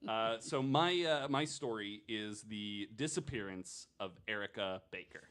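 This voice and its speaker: A man's voice: lowish voice, unhurried, fairly bright tone, smooth. A man hosting a podcast, telling a true crime story in a casual, chatty way with joking asides.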